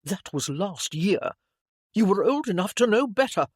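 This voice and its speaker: Narrator voicing an earnest, emphatic young gentleman. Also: medium pitch, expressive, normal speed, dry recording, clean studio sound, close to an everyday voice. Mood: indignant accusing. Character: earnest, apologetic young gentleman, indignant at his sister.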